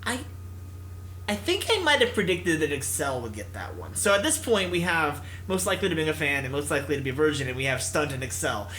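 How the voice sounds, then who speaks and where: A man's voice: fairly high pitch, very animated, brisk, fairly bright tone, a little hiss or hum. A man hosting a gaming video, reading narration expressively and mixing in casual commentary.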